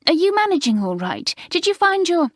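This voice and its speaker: An anxious, stubborn schoolgirl with a very high, urgent voice, voiced by a woman reading a storybook. She pleads and fusses in a raised, pressing tone. The sound is close and dry. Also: big pitch swings, a little fast, no echo.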